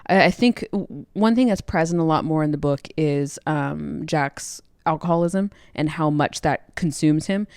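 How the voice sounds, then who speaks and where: A woman's voice: low voice, moderate pace, hardly any pauses, a little mellow, clear, resonant tone, dry recording, studio quality. A woman co-hosting a podcast in casual chat, informal and agreeable, thinking aloud as she goes. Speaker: podcast co-host.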